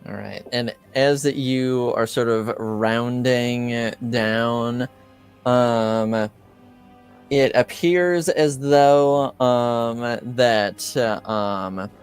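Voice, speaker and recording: Male game master, mid-pitched and unhurried, improvising haltingly, music underneath. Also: smooth. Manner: halting, searching for words. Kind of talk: improvised narration of a tabletop game.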